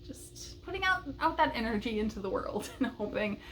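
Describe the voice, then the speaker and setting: A woman's voice: a little high, animated, normal speed, fairly bright tone. A woman chatting casually and enthusiastically as a book vlogger, with a light chuckle in her talk.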